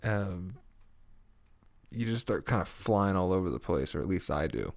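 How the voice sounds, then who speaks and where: A young man with a deep voice hosting a casual solo podcast, laid-back and swearing often, chuckling at his own stories. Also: expressive, moderate pace, creaky, no echo. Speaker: podcast host. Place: small home room with foam on the walls. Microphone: studio mic at a desk.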